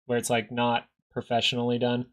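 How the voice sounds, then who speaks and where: A man's voice: mid-range voice, completely flat delivery, brisk. A man in his thirties hosting a live stock market stream, chatting casually between chart analysis and answers to viewer questions. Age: 30s. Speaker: stock market livestream host.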